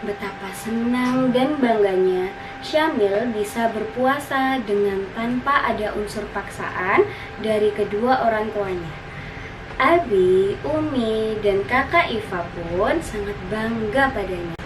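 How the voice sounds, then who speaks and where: A woman narrating a scripted story for children in an expressive storytelling style. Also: mid-range voice, slow. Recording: roomy, distant, noisy recording.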